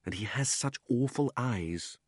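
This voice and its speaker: A man acts out a young country boy in a storybook, miserable but defiant. He speaks emphatically, all wound up, with lively pitch. The sound is dry and close. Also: unhurried, slightly rough, no room sound. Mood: brooding, mysterious. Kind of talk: storybook character reading.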